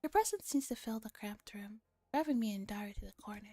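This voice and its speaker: Female ASMR streamer reading a visual novel, soft and mellow, faint music behind. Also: medium pitch, fast, dry recording. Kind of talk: visual novel ASMR read-aloud with casual comments.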